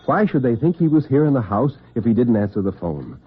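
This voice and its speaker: Husky male suspense narrator on tinny old radio. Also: mid-range voice, big pitch swings. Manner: deliberate, literary, intense. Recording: tinny, band-limited vintage radio recording.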